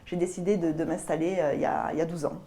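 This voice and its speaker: A middle-aged woman with a southern French accent answering interview questions about her work, often pausing mid-phrase to pick her words. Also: lowish voice, fairly even intonation, warm tone. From south of France (Albi). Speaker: guest, business lawyer.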